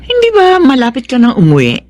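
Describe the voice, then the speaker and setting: An actress playing a frank, caring friend in a Filipino radio drama, chiding expressively. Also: female voice, sits high, wildly swooping pitch, a little fast, a little mellow. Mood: concerned scolding.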